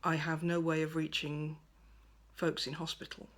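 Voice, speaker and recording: A woman giving a gentle, thoughtful monologue at home by a window, her voice soft and at times close to a whisper. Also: low voice, little pitch movement, normal speed. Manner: soft-spoken, thoughtful, drifts into near-whispers. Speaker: pagan speaker sharing reflections. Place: at home by a window over a garden.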